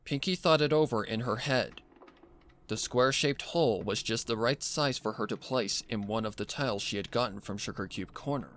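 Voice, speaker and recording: A man narrates fiction in a dramatic, scripted reading style. His voice sits at a middling pitch. There is clear noise behind him. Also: normal speed, fairly bright tone.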